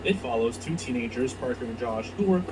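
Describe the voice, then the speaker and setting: A man's voice: mid-range voice, expressive, fairly quick, a loud crowd. A man reading scripted narration for a countdown video, heard as played back. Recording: distant, noisy playback of a video.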